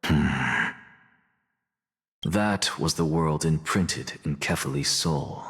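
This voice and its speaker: Haughty, deep-voiced scholar. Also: male voice, slow pace, fairly bright tone, husky, exaggerated voice. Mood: haughty, assured. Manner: theatrical, self-assured, dismissive. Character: arrogant, self-sacrificing male scholar scheming toward godhood. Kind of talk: dramatic video game voice acting. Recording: long reverb, music underneath.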